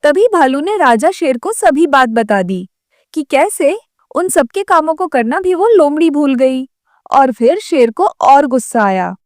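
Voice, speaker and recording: High-pitched animated woman storyteller. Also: a little slow, no room sound, clean studio sound. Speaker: narrator. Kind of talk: scripted children's story narration.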